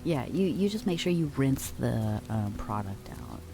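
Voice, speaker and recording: A young woman talks close to the mic in a relaxed ASMR stream, her voice strikingly low and slow. A gravelly fry runs through her soft, affectionate chatter, and she slips now and then into a whisper. Gentle music plays clearly behind her. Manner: relaxed, affectionate, reads and answers chat; drifts between soft talk and whispers. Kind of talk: soft-spoken ASMR chat with viewers.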